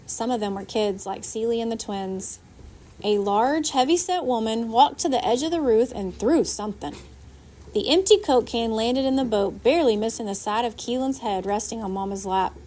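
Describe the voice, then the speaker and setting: A woman narrating a children's novel aloud in an expressive storytelling style. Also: on the high side, moderate pace. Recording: faint background noise.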